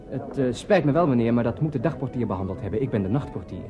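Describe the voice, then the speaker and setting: An actor playing a busy, obsequious hotel night porter in 1930s radio style, hurried and politely apologetic. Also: male voice, mid-range voice, lively intonation, close to an everyday voice. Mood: flustered, polite. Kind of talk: 1930s radio play acting. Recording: dull 1930s radio, music behind.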